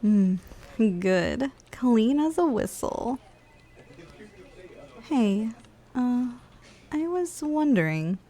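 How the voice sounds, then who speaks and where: A woman's voice: on the high side, very animated, extremely slow, pauses often, mellow, exaggerated voice. A woman voicing a playful, lazy giantess office worker in an intimate ASMR roleplay, coaxing and casually condescending as she speaks straight to the listener.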